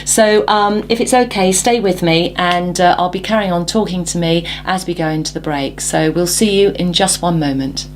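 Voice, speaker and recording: A woman's voice: on the low side, moderate pace, hardly any pauses, a little hiss or hum. Encouraging woman talk show host. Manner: encouraging, frequent "mm" backchannels. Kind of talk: interview hosting.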